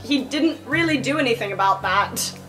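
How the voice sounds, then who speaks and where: Woman playing a flustered young guy, highish voice. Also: animated, moderate pace, fairly bright tone, soft background music. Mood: frank, awkward. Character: flustered, frank young male student cook, easily embarrassed. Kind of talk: improvised tabletop roleplay.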